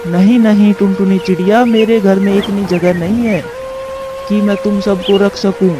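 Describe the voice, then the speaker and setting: A man's voice: high voice, level tone, moderate pace, music in the background, close to an everyday voice. A man voicing a grumpy, unhelpful male crow with a curt manner in a moral-story cartoon. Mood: refusing. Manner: curt, complaining.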